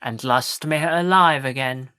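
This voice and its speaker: A woman's voice: a bass voice, normal speed, sharp tone, smooth, dry recording. A woman playing a proud, meddling flower fairy in a stage play, assured and matter-of-fact.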